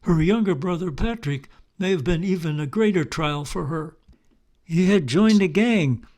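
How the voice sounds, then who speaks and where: A man narrating local history as a storyteller, in measured phrases. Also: high-pitched, darkish tone. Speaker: tour narrator.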